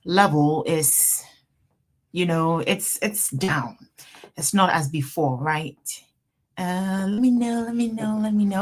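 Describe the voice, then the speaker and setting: A woman from Ghana with a Ghanaian accent, an attorney hosting a live Q&A stream from her office, greeting viewers warmly and repeating herself as they join. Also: mid-range voice. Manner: upbeat, repetitive, greeting viewers by name and place. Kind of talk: casual livestream chat.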